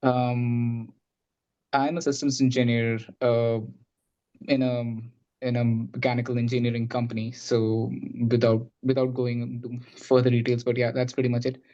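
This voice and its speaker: A man's voice: mid-range voice, flat delivery, moderate pace, mellow, highs cut off. A man in his thirties giving rambling, casual answers as a dating show contestant. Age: 30s.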